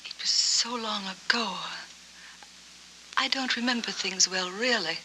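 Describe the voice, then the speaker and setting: An actress playing a lonely, wistful woman with a hazy memory in a 1940s film noir, speaking in halting, dreamy, hushed phrases. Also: medium pitch, slight background noise, rough recording. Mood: vague, dreamy. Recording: old 1940s film soundtrack, music behind.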